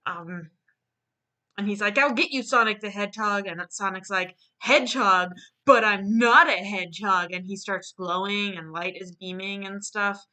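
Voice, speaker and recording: A woman's voice: mid-range voice, normal speed, bright, forceful tone. A woman chatting casually as she hosts and retells a silly story, playfully acting out the quoted lines. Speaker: host of a live online dramatic reading and drawing stream.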